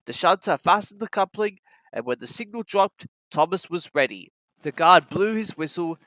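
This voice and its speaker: A children's storybook narrator with a bright, forceful voice and very lively intonation. The reading is scripted and sounds close and dry. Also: medium pitch, normal speed, dry recording, poor audio.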